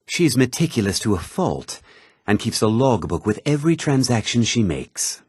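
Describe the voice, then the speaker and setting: A man's voice: mid-range voice, big pitch swings, normal speed, a little mellow, husky, no room sound, clean studio sound. An actor voicing a haughty, self-important townsman in a fantasy video game, with a pompous, theatrical delivery. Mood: businesslike. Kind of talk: scripted fantasy video game character dialogue.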